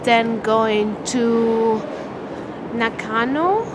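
Young woman, handheld vlog, slow, a bit high, noisy outdoor phone camera audio, loud station noise. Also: over a noisy crowd. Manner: slow and chatty. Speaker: travel vlogger. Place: outdoor train platform. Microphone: camera held at arm's length.

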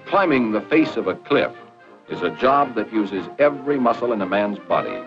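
Lively American man narrating a vintage training film. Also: on the low side, moderate pace, music in the background. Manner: plain-spoken, folksy, instructive. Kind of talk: scripted 1940s educational film narration. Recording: dull lo-fi 1940s film soundtrack.

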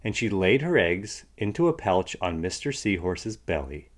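A man narrates a children's storybook in a low voice. His pitch moves around in a lively way as he reads, giving the narration an expressive storytelling shape. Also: normal speed. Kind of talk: expressive read-aloud narration of a picture book.

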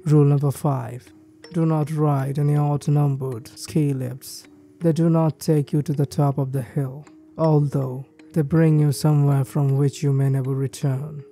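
A man reading a creepypasta list of ski resort rules in a steady, measured scripted narration. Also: fairly high pitch. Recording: faint background music.

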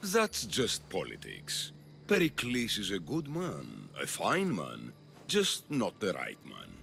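Theatrical, swooping Athenian demagogue. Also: male voice, deep voice, huge pitch swings, slow pace, gravelly, a bit bright, faint music behind, heavily acted. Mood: insinuating. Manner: oratorical, insinuating, grandiose. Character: scheming, theatrical populist male politician of ancient Athens. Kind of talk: dramatic video game dialogue.

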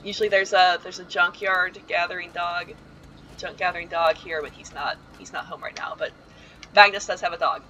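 A woman's voice: fairly low pitch, fairly even intonation, normal speed, pushed and bright, a little hiss or hum, low-quality recording. A woman giving a rambling, casual voice-over tour of a house built inside a video game, full of ums and side remarks. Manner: chatty and rambling, with frequent ums and asides.

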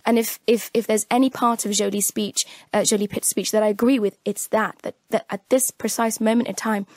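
A woman's voice: mid-range voice, fairly even intonation, brisk, a little mellow, no room sound. A woman journalist from Afghanistan speaking as a guest on a radio discussion, talking with urgent passion and repeating words as she presses her points.

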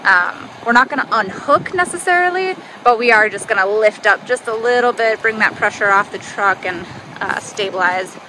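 A young woman talks in a bright, chatty vlog manner, walking viewers through her stop. Her voice sits a little high. Steady outdoor and engine noise runs under the camera mic. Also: moderate pace, low-quality recording. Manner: upbeat, chatty, explaining. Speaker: RV travel vlogger. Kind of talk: casual vlog chat. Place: outdoors at an RV park, then inside a pickup truck.

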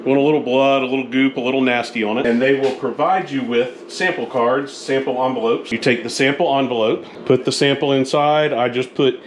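A man from northern Florida, his voice rough and congested, explaining emu egg incubation in a folksy, talkative way in a small hatchery room. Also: mid-range voice, fairly even intonation, a little fast, few pauses. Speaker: emu farmer. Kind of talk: casual how-to farm video. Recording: echoey small room, air conditioner hum.

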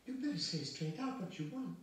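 A man's voice: medium pitch, sing-song, brisk, warm tone, pitched above the performer's own voice, lightly acted. A man voicing a timid, tender young woman who pleads in distress, in an audiobook novel. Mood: distressed pleading. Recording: echoey, distant, faint background noise.

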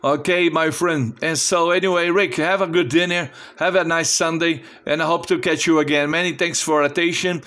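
A Brazilian man with a slightly high voice works as a ham radio operator. He is friendly and casual, speaking non-fluent English with a Portuguese speaker's accent. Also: fairly even intonation, normal speed, hardly any pauses, a bit bright. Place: indoor home radio station.

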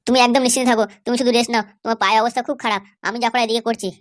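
A man's voice: extremely high pitch, fairly even intonation, very fast. A man voicing a protective young nephew in an amateur comedy cartoon, insistent, boastful and reassuring. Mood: determined. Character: cocky, protective young nephew vowing revenge.

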